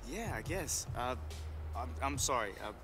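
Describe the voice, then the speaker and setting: A man playing a young up-and-coming streetball player in a video game, humble but ambitious, with a casual, halting way of talking. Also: medium pitch, expressive, over music, natural voice. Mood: easygoing. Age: young adult. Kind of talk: video game cutscene acting.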